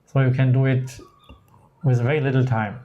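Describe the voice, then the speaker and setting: Mellow-voiced man, native German speaker. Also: mid-range voice, level tone, normal speed, muffled recording. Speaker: channel co-host. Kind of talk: casual conversation.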